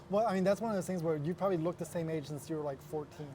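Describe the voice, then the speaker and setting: A man from the Los Angeles area hosting a casual interview, talking earnestly and haltingly with restarts. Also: fairly high pitch, mellow, a little hiss or hum. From United States, Los Angeles area. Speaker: host, interviewer.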